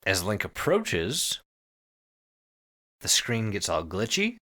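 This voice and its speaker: A man with a bright, forceful voice retells a story as a podcast host. His delivery is casual and full of swearing, with pitch swinging widely as he goes. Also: takes it slowly. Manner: profane, animated retelling. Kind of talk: casual storytelling recap with co-hosts.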